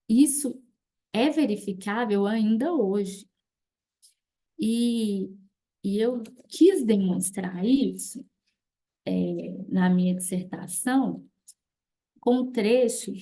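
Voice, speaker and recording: A woman presenting her dissertation research in a reflective academic talk, often pausing with small tag words. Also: medium pitch, mellow. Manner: reflective, many fillers like "né" and "assim".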